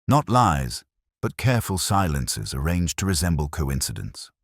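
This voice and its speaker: A man reading scripted first-person detective narration in a measured, solemn and literary manner. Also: a bass voice, sing-song, husky, dry recording, studio quality.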